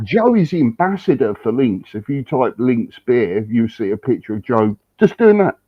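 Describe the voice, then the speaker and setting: A man's voice: mid-range voice, very animated, moderate pace, breathy edge, no room sound, dull audio. A man on a video call, chatting and teasing friends in a playful, exaggerated way, his nose blocked from hay fever. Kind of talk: casual joking chat on a video call.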